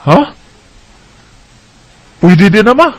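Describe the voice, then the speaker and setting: A man's voice: sits high, big pitch swings, extremely slow, full character voice. A man with a Cebuano Filipino accent playing an earnest adult son in a radio drama. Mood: surprised. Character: earnest adult son working abroad, worried for father. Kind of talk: radio drama, reading a letter aloud.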